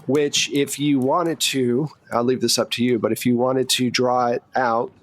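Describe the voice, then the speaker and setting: A man's voice: mid-range voice, level tone, measured pace. A man narrating a tabletop roleplaying game as game master, conversational and loosely improvised.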